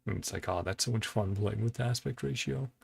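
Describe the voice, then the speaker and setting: A man giving casual reaction commentary as a video host, halting as he thinks aloud. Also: lowish voice, vocal fry, slightly rough. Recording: faint music behind the voice. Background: faint music.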